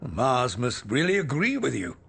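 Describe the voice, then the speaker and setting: An actor playing a middle-aged spaceship captain in a radio drama, concerned, protective and urgent. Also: male voice, medium pitch, huge pitch swings, a little slow, gravelly, a bit bright, slightly rough, exaggerated voice. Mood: friendly. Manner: urgent, reassuring.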